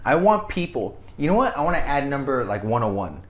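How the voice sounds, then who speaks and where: A young man co-hosting a casual podcast, animated, swearing casually and laughing often. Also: mid-range voice, a little fast. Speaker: podcast host. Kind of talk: casual chat.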